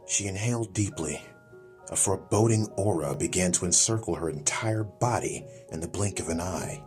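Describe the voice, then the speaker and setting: A man's voice: deep voice, normal speed, darkish tone, soft background music. A man reading a scripted, dramatic story as an audiobook narrator.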